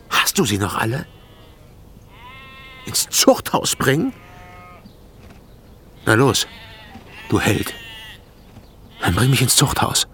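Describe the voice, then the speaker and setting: An actor playing an embittered, defiant herder at breaking point in a radio drama, bitter, explosive and sarcastic. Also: male voice, medium pitch, sharp tone, slight background noise, exaggerated voice. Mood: furious, defiant.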